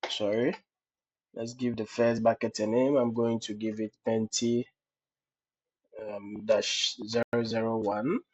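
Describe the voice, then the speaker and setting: Husky low-voiced man with a cold. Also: flat delivery, slow pace. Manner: explains step by step, with frequent fillers and "okay, so". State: has a cold. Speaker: tutorial host. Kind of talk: screen-recorded cloud lab walkthrough. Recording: dull lo-fi screencast audio.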